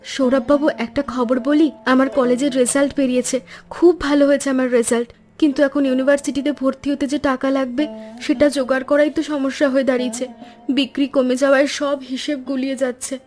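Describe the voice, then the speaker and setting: A woman voicing an earnest, polite college-age granddaughter in an animated cartoon story. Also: high voice, fast, faint music behind, close to an everyday voice. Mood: hesitant, worried. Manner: polite, earnest, speaks in full careful sentences.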